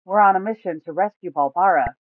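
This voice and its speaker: A woman voicing an eager, caring adventurer who speaks earnestly and excitably. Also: fairly low pitch, brisk, no echo, phone-quality sound, voice pushed up, natural voice. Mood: earnest, concerned.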